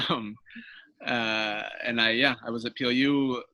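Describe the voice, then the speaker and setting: A man who grew up in Washington, a Spanish teacher and guest, talking in a friendly, halting way with easy laughs over a video call. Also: mid-range voice, steady tone, sharp tone, no echo, dull audio. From born in Colombia, raised in Washington. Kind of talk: casual interview talk.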